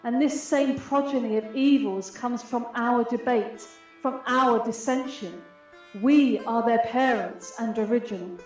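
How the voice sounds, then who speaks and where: A woman's voice: on the high side, lightly acted. A woman playing a proud, aggrieved fairy queen in a Shakespearean radio play, speaking stately, declamatory verse. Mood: indignant. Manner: stately, measured, declamatory delivery. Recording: heavy reverberant echo, muffled, music behind. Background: music.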